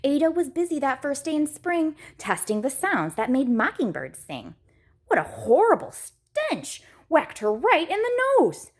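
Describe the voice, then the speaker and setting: A woman's voice: high voice, sing-song, measured pace. A woman storyteller reading a rhyming picture book aloud to children in a dramatic, emphatic way.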